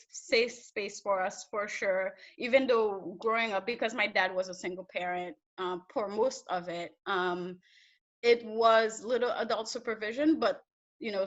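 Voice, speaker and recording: Young woman from Haiti, guest on a video call, animated storyteller with mid-range pitch, dull lo-fi sound. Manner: animated storyteller, many fillers. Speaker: research data analyst, guest. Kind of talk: casual interview chat. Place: small indoor room on a video call. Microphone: laptop webcam mic on video call.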